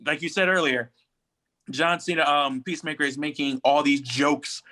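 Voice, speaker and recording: Male co-host, bright forward voice, slightly high, informal slangy podcast discussion. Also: steady tone, moderate pace. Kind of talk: casual pop culture discussion.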